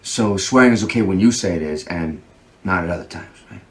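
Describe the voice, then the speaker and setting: A man's voice: low voice, a little fast, a little hiss or hum, natural voice. An actor playing an opinionated, prickly man who questions and challenges people with sarcasm, in a naturalistic drama. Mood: needling.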